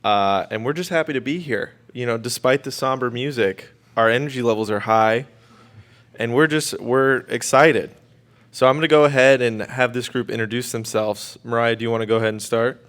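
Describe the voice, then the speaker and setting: A man's voice: mid-range voice, moderate pace, a bit bright. A man speaking casually and off the cuff as a teacher introducing a student radio show.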